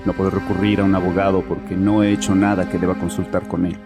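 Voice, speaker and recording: A man's voice: low voice, level tone, quick pace. An actor playing a bitter, anguished man in his late twenties confessing to a therapist in an audio drama, defensive and scornful. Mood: grim, insistent. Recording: music under the voice.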